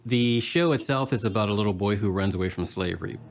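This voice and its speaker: A man speaking as an artist and puppeteer in a reflective documentary interview, measured and explanatory. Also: lowish voice.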